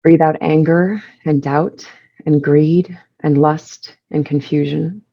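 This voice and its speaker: A woman leading a guided meditation, giving soothing instructions in measured short phrases with pauses between them. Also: sits low, steady tone, gravelly, dull audio.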